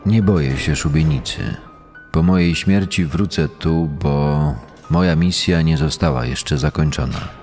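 An actor playing a smug, vain condemned murderer of about forty, speaking in a measured, self-assured, commanding way for a true-crime podcast dramatisation. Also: male voice, very deep voice, a little mellow. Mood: defiant. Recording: long reverb over music. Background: music.

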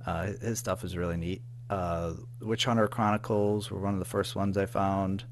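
Low-voiced man, halting and informal. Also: level tone, slight background noise. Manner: halting, informal, peppered with hedging filler phrases. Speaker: podcast guest, audio drama writer-producer. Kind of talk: casual interview chat.

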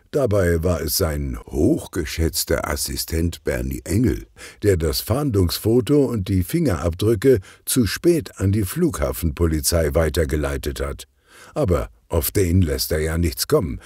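A man acts out a frustrated policeman dad in a storybook. He is sardonic and mocking, with a deep voice that swings expressively. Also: mellow, husky, no room sound, clean studio sound, exaggerated voice. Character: frustrated, mocking adult male policeman, family father.

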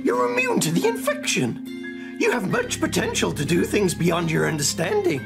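A woman's voice: fairly low pitch, huge pitch swings, normal speed, gravelly, music in the background, heavily acted. Woman playing a creepy, swooping male monster. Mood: sinister, coaxing. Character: creepy scheming male monster, eerily fascinated and persuasive.

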